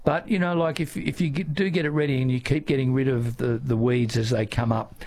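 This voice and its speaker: An older man with an Australian accent, a gardening guest answering listener questions on radio in a relaxed, halting way. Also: medium pitch. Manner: relaxed, halting with many fillers.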